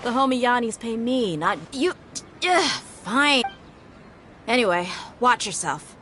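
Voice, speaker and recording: A woman playing a brisk, protective fighter in a video game, speaking in a curt, bold manner. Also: a little high, expressive, pushed and bright, a little hiss or hum. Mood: brisk, annoyed. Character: brisk, protective female fighter guarding her lady. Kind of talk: English video game voice acting.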